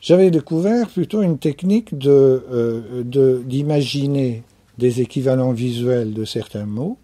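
A thoughtful man from France speaks as an anthropologist in a reflective interview. His voice is dark and mellow with a husky edge. He pauses often and repeats key phrases as he thinks aloud. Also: medium pitch.

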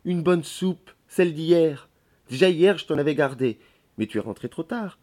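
A man's voice: fairly high pitch, animated, moderate pace, a little mellow, voice pushed up, close to an everyday voice. A man voicing a chatty, blunt, nagging country servant woman from a novel. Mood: coaxing.

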